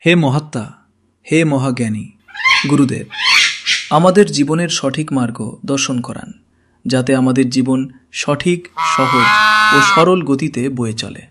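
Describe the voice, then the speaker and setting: A man's voice: mid-range voice, a little slow, long pauses, very noisy, close to an everyday voice. A man voicing a troubled village devotee in a cartoon, pleading formally and reverently. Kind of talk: scripted cartoon dialogue.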